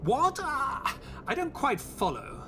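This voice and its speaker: An actor playing an earnest, curious doctor and loyal sidekick in an audio drama, polite, questioning and easily astonished. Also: male voice, extremely high pitch, wildly swooping pitch, slow pace, background noise, full character voice. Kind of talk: audio drama acting with first-person narration.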